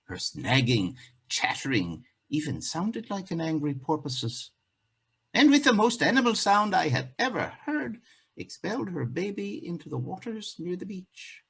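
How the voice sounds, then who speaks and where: A man's voice: high-pitched, sing-song, measured pace, creaky, a bit bright, slightly rough. A man telling a folktale as a theatrical monologue, with dramatic, declamatory phrasing. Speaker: actor telling a folktale in a play.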